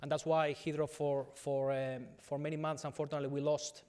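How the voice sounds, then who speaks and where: A man's voice: on the high side, level tone, brisk, darkish tone, clean tone. A middle-aged man with a Spanish accent, speaking earnestly at a business panel with many fillers and restarts. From Spain. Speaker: company executive on a conference panel.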